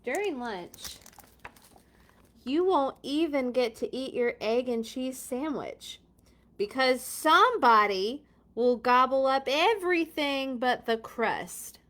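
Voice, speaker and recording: A woman reading a children's storybook aloud in a playful, expressive way, with casual chatty asides. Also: high-pitched, slow pace. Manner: playful, expressive, exclaims and comments while reading.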